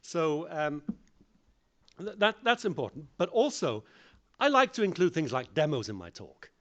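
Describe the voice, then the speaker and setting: Male conference speaker, lively pitch swings, self-deprecating, plain-spoken. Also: normal speed, muffled recording. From English-speaking country. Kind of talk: lecture on public speaking.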